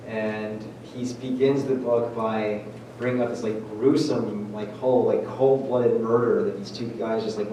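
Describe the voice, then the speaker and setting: A man, a priest, talking casually to his parish and answering questions, full of "like" and "I mean". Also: lowish voice. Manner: animated, filler-heavy, lots of "like". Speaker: priest giving a parish talk. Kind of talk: casual talk and Q&A. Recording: echoey church hall, distant mic, noisy.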